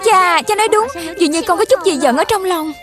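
A woman dubbing a kind, sensitive young village girl in a cartoon, earnest and expressive, with a Southern Vietnamese accent. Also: very high voice, fast, loud music behind the voice. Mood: subdued.

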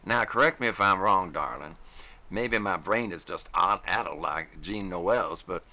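A man's voice: deep voice, expressive, exaggerated voice. A man doing a drawling folksy old-timer. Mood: puzzled. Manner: drawling rural speech, warm and slow. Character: folksy older man, grieving dog lover.

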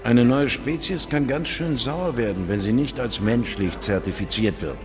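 An actor playing a confident, worldly biologist in a science fiction radio drama, dry and explanatory. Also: male voice, mid-range voice, normal speed, natural voice. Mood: wry, emphatic. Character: confident, worldly male biologist and space investigator. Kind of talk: radio drama dialogue and first-person narration. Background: music.

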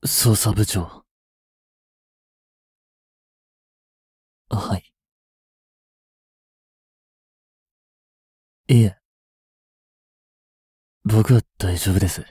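Casting: male actor as young cop boyfriend for audio drama, mellow and breathy, emotional, halting and slow. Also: lowish voice, steady tone, no echo, clean studio sound, heavily acted. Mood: subdued, grieving. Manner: halting, emotional delivery with sighs and pauses. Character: anguished young male police officer, devoted boyfriend. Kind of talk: dramatic Japanese situation voice acting.

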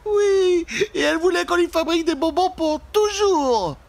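A man voicing a young girl in a spooky storybook tale, urgent and excitable, with exclamations. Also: moderate pace, gravelly, heavily acted. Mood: tearful, upset. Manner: high, urgent, breathless exclamations. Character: frightened, excited little girls, two sisters fleeing an ogress.

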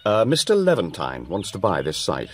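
An actor playing a brisk, practical, middle-aged racehorse trainer in a radio drama. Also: male voice, low-pitched, wildly swooping pitch, exaggerated voice. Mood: persuasive.

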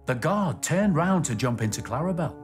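A middle-aged man presenting and telling stories to children as a station master, expressive and clearly enunciated. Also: fairly high pitch, normal speed, music in the background.

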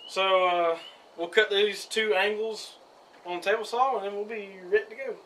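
A man's voice: sits high, normal speed, far from the mic, noisy background. A young man hosting a casual outdoor how-to vlog, chatty and upbeat with frequent "uh" fillers, recorded in a yard and an open carport on a camera mic. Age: young adult. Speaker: outdoor channel host.

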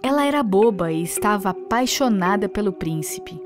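A woman narrating a children's fairy tale in a clear, scripted storytelling manner. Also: mid-range voice, animated, normal speed. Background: background music.

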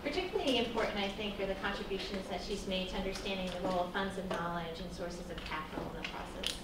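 A woman gives a measured, formal introduction of a guest speaker. She sounds distant from the mic, with heavy classroom echo and clear background noise. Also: medium pitch. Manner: reads a measured, warm introduction. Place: university classroom. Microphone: far room microphone. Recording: very echoey classroom from far off, noisy.

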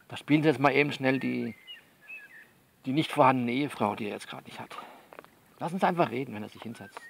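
A man playing a frank, worldly coach who talks a female client through things by phone in a blunt, coaxing, colloquial way with repeats and pauses. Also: medium pitch, creaky, a little hiss or hum, natural voice. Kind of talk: improvised-sounding one-sided phone monologue in an audio novel.

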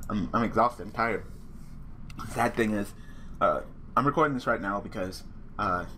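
A young man with a hoarse, worn-out voice commentating a card game online, swearing and ranting casually. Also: fairly low pitch, slight background noise. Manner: profane, rambling, excitable rants. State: hoarse, losing his voice. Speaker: gaming channel host.

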